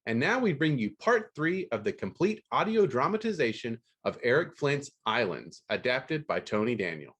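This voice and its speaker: A man's voice: mid-range voice, big pitch swings, moderate pace, hardly any pauses, fairly bright tone, husky. A man hosting a podcast in a casual style. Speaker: podcast host.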